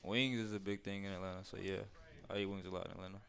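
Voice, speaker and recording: A young man from Minnesota, a college basketball player, answering interview questions in a laid-back, soft-spoken way. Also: low voice, level tone, very fast, creaky, clear, resonant tone. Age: young adult.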